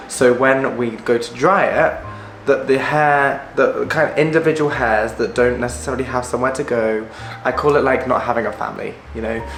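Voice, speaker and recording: A man, a hairstylist, sounds husky after the flu and explains things directly in an educational vlog. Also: mid-range voice, a bit bright, reverberant. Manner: direct, explanatory, asks the viewer if it makes sense.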